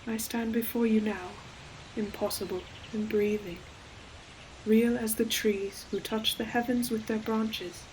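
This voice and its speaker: A woman voicing an ancient, gentle forest demon in a scripted audio roleplay, speaking tenderly in archaic storybook phrasing. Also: a little high, steady tone, a little slow, close to an everyday voice, rough recording. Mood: gentle, searching. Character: ancient gentle forest demon, hulking moss-covered creature. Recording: clear forest ambience noise behind voice. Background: forest nature sound effects with animals.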